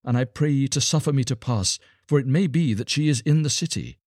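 A man's voice: mid-range voice, brisk, darkish tone, dry recording, studio quality, pitched above the performer's own voice, lightly acted. A man voicing a humble young beggar boy who pleads earnestly and with remorse in old-fashioned storybook speech. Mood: pleading, hopeful.

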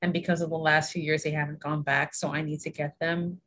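Interview guest: husky, lower-pitched woman in her forties, earnest and chatty. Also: flat delivery, brisk. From New York, USA. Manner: warm, earnest, laughs often, many fillers. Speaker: guest, health education consultant. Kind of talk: casual interview conversation.

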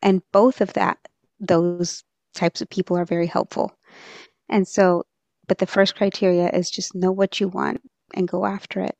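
A woman guest from Texas talks through her advice in a thoughtful, earnest way, pausing on fillers as she goes. Her voice sits at a middle pitch. The sound is dry and close, with no room echo. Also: little pitch movement, creaky, a little mellow, muffled recording. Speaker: interview guest. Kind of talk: reflective conversational advice.

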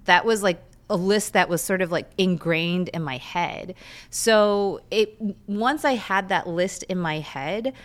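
Female guest, thirties, Korean first language; candid and chatty, smooth voice pitched on the low side. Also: a little slow. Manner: candid, chatty, with frequent fillers. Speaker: guest, lawyer and runner. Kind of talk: reflective interview answers.

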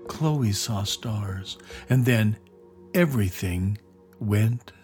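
A man narrating a scripted children's adventure story in a measured, dramatic storytelling manner. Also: on the low side, animated, a little mellow. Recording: narration over clear background music.

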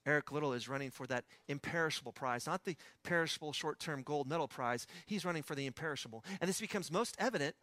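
A pastor with a West Texas accent preaching a sermon in a conversational storytelling way, with personal asides. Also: male voice, on the high side, quick pace, hardly any pauses, bright, forceful tone, no echo.

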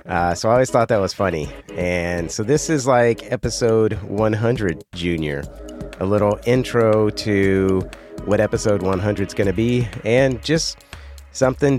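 Hoarse, congested low-voiced man. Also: a little mellow. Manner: rambling, conversational, self-correcting. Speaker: podcast host. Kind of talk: casual solo podcast talk.

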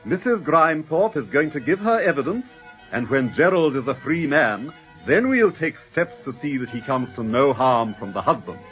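Theatrical, swooping English barrister. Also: male voice, medium pitch, big pitch swings, normal speed, fairly bright tone, recorded from a distance, muffled recording, soft background music. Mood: brisk, firm. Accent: upper-class English. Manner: pompous, rhetorical, precise. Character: suave, theatrical English defence barrister, self-assured and eloquent. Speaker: actor playing a defence barrister. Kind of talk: British radio drama, courtroom oratory.

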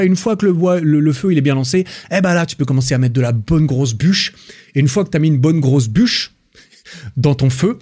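A young man from France hosting a solo podcast, talking in a relaxed, rambling way with lots of fillers and restarts. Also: mid-range voice. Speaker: podcast host. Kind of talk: casual solo podcast monologue.

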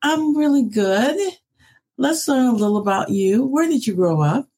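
A woman's voice: fairly high pitch, animated, unhurried, warm tone, breathy edge. A woman from Northern California who hosts a career podcast and coaches, speaking in an upbeat, encouraging way. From Northern California, USA. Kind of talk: podcast hosting and ad read.

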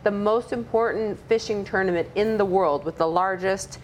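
A woman hosts a relaxed interview at a slow, deliberate pace, reading out quiz questions and switching between English and Spanish. Faint background noise sits under her voice. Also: mid-range voice. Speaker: podcast host. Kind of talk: casual bilingual interview.

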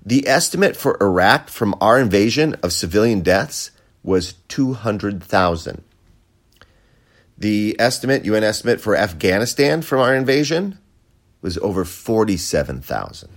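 A sarcastic American man rants through a podcast monologue, swearing freely. His voice sits fairly low but swings widely up and down as he mocks and jabs. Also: sing-song, moderate pace. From United States. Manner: sarcastic, profane ranting. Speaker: podcast host. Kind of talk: opinion monologue.

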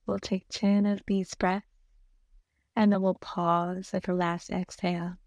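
A woman guiding a meditation in a soothing, gentle manner, giving unhurried instructions with long pauses between short phrases. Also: mid-range voice, flat delivery, no room sound. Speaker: meditation guide. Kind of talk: guided meditation narration.

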